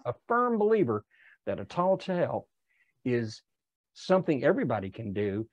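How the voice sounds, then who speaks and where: An older man presenting in an online club session, speaking emphatically and deliberately and repeating phrases for effect. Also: medium pitch, sing-song, no room sound, lo-fi sound. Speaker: workshop presenter. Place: indoor room on a video call. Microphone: clip-on lapel mic.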